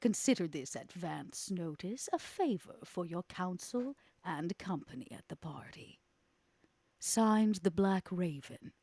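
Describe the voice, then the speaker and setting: A woman playing an elegant, superior vampire schemer in a tabletop game, with a measured, pompous, theatrical manner. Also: fairly low pitch, animated, vocal fry, warm tone, breathy edge, no room sound, heavily acted. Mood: haughty, menacing.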